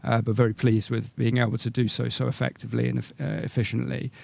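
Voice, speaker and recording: Gravelly-voiced male executive, measured and corporate. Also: mid-range voice, flat delivery, darkish tone. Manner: measured corporate phrasing, hesitant and repetitive. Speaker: company executive answering questions. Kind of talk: business earnings call interview answers.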